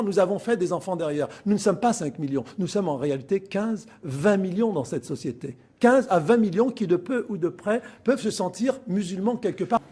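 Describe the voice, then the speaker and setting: Man, husky, public speech, emphatic build-up, high voice. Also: darkish tone. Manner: emphatic, counts up numbers building to a point.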